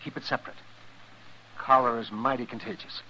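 A man playing a folksy, crusty town doctor in an old radio western, with an American accent and an expressive delivery. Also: mid-range voice, a little fast. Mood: grave, urgent. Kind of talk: 1950s radio western acting. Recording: dull, hissy old radio recording.

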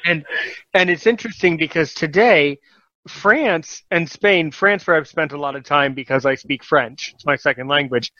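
A man's voice: on the high side, moderate pace, pushed and bright, no room sound, muffled recording. A man hosting a casual podcast talk show, encouraging and rambling, often correcting himself mid-sentence.

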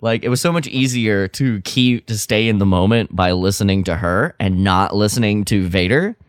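A man's voice: fairly low pitch, moderate pace, smooth, no echo. A man co-hosting a podcast in casual chat, talking with enthusiasm and emphasis. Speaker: podcast co-host. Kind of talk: casual chat about a radio drama.

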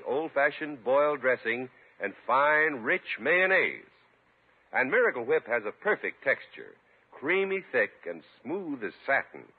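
Through a tinny old radio recording, a male announcer delivers scripted ad copy in 1950s style. His slightly rough voice moves in lively swoops, polished and persuasive. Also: mid-range voice. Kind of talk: 1950s radio commercial reading.

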